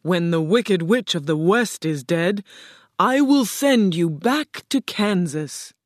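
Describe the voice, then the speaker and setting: A woman acts out a huge, stern wizard head from a storybook. He speaks in loud, drawn-out, commanding pronouncements with big swoops of pitch, recorded close and dry. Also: lively intonation, dry recording. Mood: demanding, threatening. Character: giant disembodied wizard head, commanding, imposing, stern, male. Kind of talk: storybook character voice.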